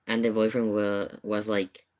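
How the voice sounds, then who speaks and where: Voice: mid-range voice, level tone, unhurried, a little mellow. A streamer chatting casually and telling a rambling story, with hesitant, repetitive phrasing. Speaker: live streamer reacting to videos. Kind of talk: casual livestream chat, rambling anecdote.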